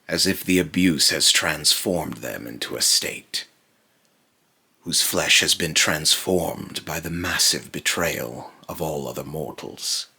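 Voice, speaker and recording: A man voicing a brooding otherworldly being in a slow, halting, grave monologue, raspy and breathy, warning darkly about mortals. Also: rumbling low, pushed and bright, full character voice. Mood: ominous. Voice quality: raspy, breathy, lapsing into harsh whisper. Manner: slow, halting, repeats phrases, ominous and grave. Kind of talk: dark dramatic monologue.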